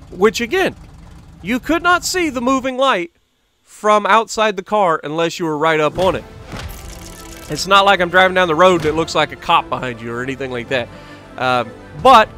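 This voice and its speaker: A man's voice: high voice, bright, forceful tone. An American man telling a rambling personal story as he casually commentates over gameplay in a conversational way. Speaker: gaming video host.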